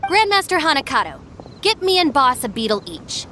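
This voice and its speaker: A woman's voice: high voice, sing-song, a little fast, bright, forceful tone, slight background noise, exaggerated voice. A woman playing a sensible, stern young gang deputy in an anime game, speaking in a firm, no-nonsense way. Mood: challenging.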